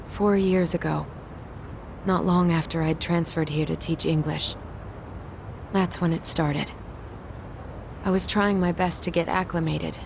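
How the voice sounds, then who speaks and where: Guarded, guilt-ridden schoolteacher over music. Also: female voice, fairly low pitch, gravelly. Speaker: English teacher. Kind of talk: dramatic game cutscene acting. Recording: dramatic music behind.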